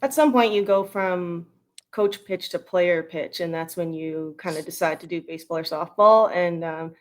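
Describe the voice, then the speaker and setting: Female, thirties, western Canadian accent. Lowish voice, casual and meandering interview guest. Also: moderate pace. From Edmonton, Canada. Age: 30s. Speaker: interview guest, retired national team baseball player.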